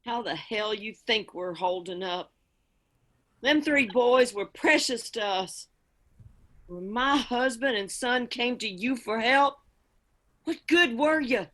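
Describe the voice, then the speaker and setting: Actress as bitter middle-aged Appalachian mother in mourning, radio drama; hard-edged, slow, reproachful delivery. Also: fairly high pitch, lively intonation, a bit bright, exaggerated voice. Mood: bitter grief. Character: bitter grieving backwoods mother, fierce and reproachful.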